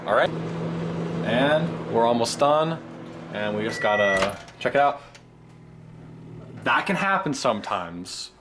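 A man, hosting a mock cooking tutorial in a halting, deadpan way. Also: mid-range voice. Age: 20s. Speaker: host of a comedic cooking segment. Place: home kitchen indoors. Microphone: camera mic held by another person nearby. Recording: noisy camera audio, room noise.

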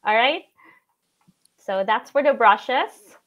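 A woman in her thirties with a Filipino accent and a raspy voice, hosting a live video chat and going over makeup brushes. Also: on the high side, lively intonation, slow, a bit bright, muffled recording. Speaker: host of a live show. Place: home room with shelves.

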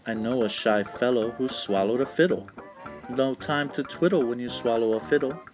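A man's voice: medium pitch, normal speed, rough recording. A man reading a children's storybook aloud in a rhythmic, sing-song way. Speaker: children's book read-aloud narrator. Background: instrumental music.

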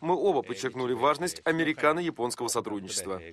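A man's voice: medium pitch, expressive, fast. A man reading quoted statements as a scripted news voice-over.

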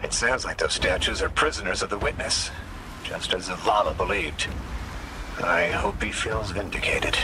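Intense, animated game scout. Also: male voice, fairly low pitch, normal speed, creaky, background noise. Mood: focused. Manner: urgent, pointed, challenging. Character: male guide tracking his commander, intense, defiant, blunt. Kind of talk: video game voice acting.

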